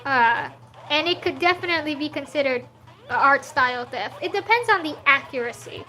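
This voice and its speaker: A woman's voice: high voice, animated, normal speed, pushed and bright, soft background music, low-quality recording. A woman, not a native English speaker, chatting casually on a livestream while she draws and answers chat, laughing often. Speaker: streaming artist.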